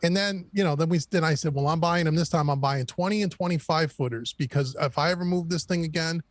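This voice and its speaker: A man's voice: fairly high pitch, quick pace, no room sound. A man guesting on a casual live-stream chat, rambling through wry stories with lots of repeats. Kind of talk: casual conversation.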